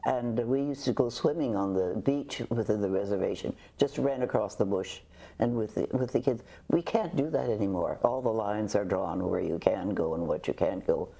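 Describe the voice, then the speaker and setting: Older woman, interview guest, husky and mellow, halting storyteller. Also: a bass voice. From Canada. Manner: halting, with frequent restarts and hesitations. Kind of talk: reflective conversational storytelling.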